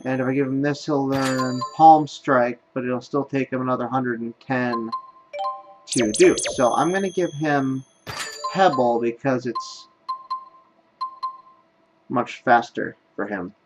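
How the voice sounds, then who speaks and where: A man gives casual let's play commentary as a gaming video host. He thinks out loud while he plays, in a mid-pitched voice. Music plays behind him. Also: slow pace, pauses often, rough recording. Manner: thinks aloud while playing.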